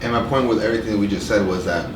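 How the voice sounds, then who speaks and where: A man in his thirties speaking in a slow, monotone way in a casual podcast conversation. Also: fairly low pitch. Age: 30s. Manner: monotone, unhurried speech. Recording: roomy, distant mic, air-conditioner hum.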